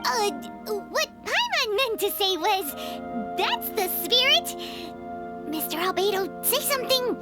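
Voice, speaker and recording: A woman's voice: very high-pitched, big pitch swings, a little slow, full character voice. A woman voicing a tiny, cheeky fairy companion in a video game, who calls herself by name and speaks bluntly. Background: game music.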